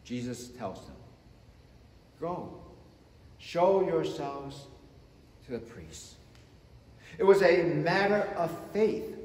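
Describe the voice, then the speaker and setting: Man, 50s, pastor, sermon, slightly high voice, emphatic, echoey sanctuary. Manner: slow, emphatic preaching with wide swings in loudness. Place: church sanctuary in front of the altar. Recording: echoey church with long reverb.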